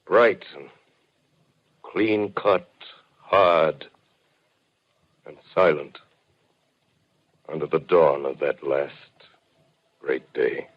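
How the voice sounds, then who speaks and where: An actor playing a shaken professor who narrates, solemn and measured, in an old 1930s radio drama. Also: male voice, extremely low pitch, highs cut off, exaggerated voice. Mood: solemn reflective. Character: shaken male professor narrator recalling invasion.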